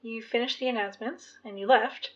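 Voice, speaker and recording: A woman playing an uneasy high school girl in an audio drama, speaking hesitantly and conversationally. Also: steady tone, brisk, lo-fi sound, lightly acted. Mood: uneasy, puzzled. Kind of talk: scripted audio drama dialogue.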